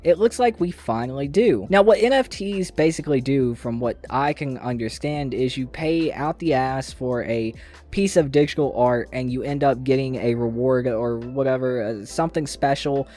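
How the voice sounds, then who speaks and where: Casual male gaming news host, mid-pitched voice. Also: normal speed, dark tone, soft background music. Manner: informal, slangy, mildly profane.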